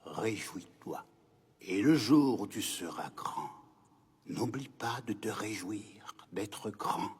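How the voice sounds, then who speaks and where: A man voicing a wise old grandfather in an animated film, kindly and solemn, explaining patiently in a husky, breathy voice. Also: lowish voice, slow, full character voice. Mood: kindly, welcoming. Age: older.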